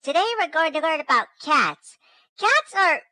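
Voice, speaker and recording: A man's voice: extremely high pitch, sing-song, unhurried, bright, forceful tone, no room sound, voice pushed up, heavily acted. A man voicing a stern, fussy female schoolteacher in a children's story. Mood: brisk, instructive.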